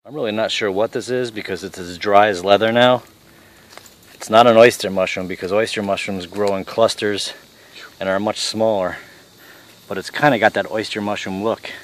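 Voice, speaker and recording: A middle-aged man with a fairly low voice talks bluntly and casually, tossing off salty remarks. He is outdoors on a forest trail, recorded on a handheld camera. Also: fairly even intonation, moderate pace. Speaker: father showing mushrooms on a hike.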